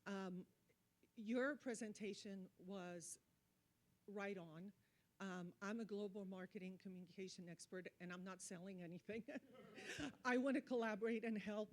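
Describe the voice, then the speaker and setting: Female conference participant, mid-range voice, earnest and emphatic, phrasing in short deliberate bursts. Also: mid-range voice, steady tone. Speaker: audience speaker, marketing expert. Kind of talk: earnest impromptu remarks at a conference.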